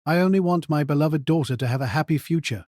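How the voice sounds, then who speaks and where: Aristocratic mage male actor, fairly high male voice, dry close sound, measured cold disdain, audio drama. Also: a little mellow, dry recording, natural voice. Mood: indifferent, superior. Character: composed aristocratic male mage, coldly condescending father.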